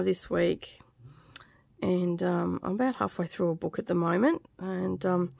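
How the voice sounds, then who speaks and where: A middle-aged woman hosting a craft vlog in casual chat, with a slightly croaky, scratchy voice, dry and tired after illness. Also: on the low side, no echo. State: recovering from covid, tired, dry throat. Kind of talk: casual stitch-along chat. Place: small indoor craft room.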